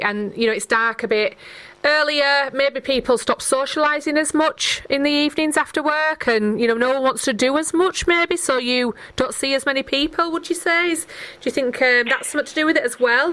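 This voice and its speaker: Female radio host, slightly high register, informal and conversational, tentative phrasing. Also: moderate pace, a bit bright, smooth. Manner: chatty, tentative, conversational questioning. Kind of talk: casual radio interview chat. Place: radio studio.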